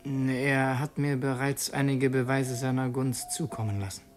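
A man's voice: mid-range voice, level tone, a little slow, faint music behind, natural voice. An actor playing an earnest revolutionary who narrates his secret mission in a literary radio drama, introspective and brooding. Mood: guarded.

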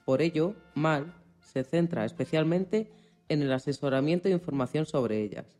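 A man co-host with a mellow, slightly high voice. He reads a radio script, now and then tripping on a word, with faint music behind. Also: normal speed.

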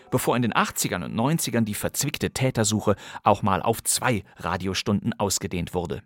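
A man's voice: mid-range voice, dry recording, clean studio sound. A man hosting a podcast, presenting vintage radio crime plays in a playful, theatrical way. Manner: playful, theatrical, relishing old thriller titles. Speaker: podcast host.

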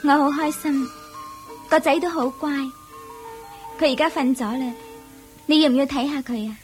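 An actress playing a tender, devoted young princess and mother in a Cantonese radio drama, speaking softly and affectionately with a questioning lilt. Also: high voice, fairly even intonation, normal speed, faint music behind, close to an everyday voice. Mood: affectionate, happy.

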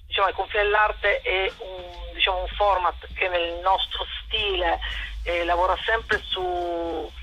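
A woman's voice: medium pitch, pushed and bright, breathy edge. A woman explaining informally as a radio interview guest, hesitant and leaning on filler words. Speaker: interview guest, arts project organiser. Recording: dull phone line on radio.

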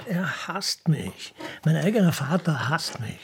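A man's voice: fairly high pitch, sing-song, measured pace, heavy background noise. A man playing a whiny, insecure and self-pitying young Persian prince in a comic radio play.

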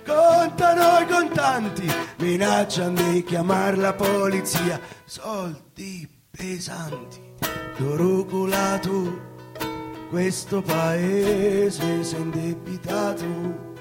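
A man's voice: sits high, slow. A man singing a theatrical folk song, accompanied by guitar. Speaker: singer with guitar. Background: acoustic guitar.

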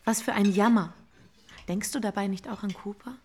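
Gentle bookish young woman, earnest, radio drama, medium pitch. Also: moderate pace, breathy edge. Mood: regretful.

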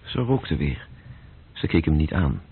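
An actor as the grave narrator of a radio play, reading scripted literary prose. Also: male voice, very animated, a little slow, exaggerated voice. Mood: sombre, observant. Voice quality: very husky, deep. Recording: dull, lo-fi old radio recording.

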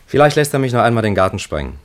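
An actor playing a weary, disillusioned ageing man who broods haltingly in radio-play style. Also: medium pitch, very animated, close to an everyday voice. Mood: weary, wistful. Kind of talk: mid-century German radio play acting.

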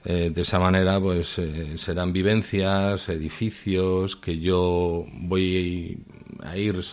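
A man with a low voice and a Madrid accent speaks as a guest in an informal radio interview. He picks his words in a halting, measured way. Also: little pitch movement, darkish tone. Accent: Madrid Spanish. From Madrid, Spain. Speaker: interviewed guest, new radio show contributor.

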